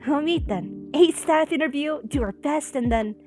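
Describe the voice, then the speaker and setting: Woman voicing a high, bubbly, eager companion. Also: normal speed, music in the background, lightly acted. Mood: excited. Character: eager, excitable shop companion chasing a big dream.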